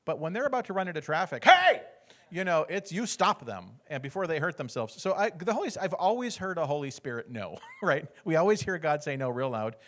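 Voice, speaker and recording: Middle-aged American man, animated testimony storyteller, fairly high voice, acts out every character. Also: brisk. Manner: acts out other people's lines, often laughing. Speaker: guest speaker sharing a testimony.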